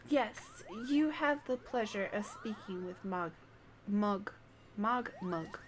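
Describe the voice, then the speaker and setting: Woman playing a vain, fluffy little moogle, slow-spoken. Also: mid-range voice, lively intonation. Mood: smug. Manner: self-admiring, theatrical. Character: vain, adorable fluffy moogle creature, haughty about admirers.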